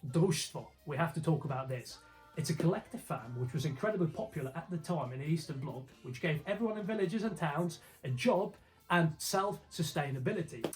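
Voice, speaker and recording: A man's voice: on the high side, poor audio. Emphatic man over music. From Slovakia. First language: Slovak. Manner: emphatic, colloquial, rhetorical questions. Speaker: video host. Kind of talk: informal explainer narration to camera. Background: background music.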